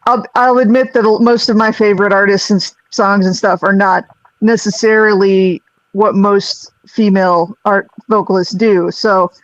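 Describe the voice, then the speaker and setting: A woman with a low voice, a singer who also runs live sound, chatting loosely on a group call with lots of restarts. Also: steady tone, normal speed, dry recording, dull audio. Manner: rambling, restarts, self-deprecating laughs. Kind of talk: casual group video-call chat.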